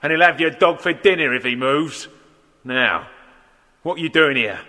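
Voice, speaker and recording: An actor playing a menacing crooked gang boss in a children's audio drama, gravelly and sneering as he barks orders. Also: fairly high pitch, measured pace, pushed and bright. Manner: bullying, barking orders, sneering. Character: menacing crooked dog-thief gang boss, adult male. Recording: echoey hall reverb.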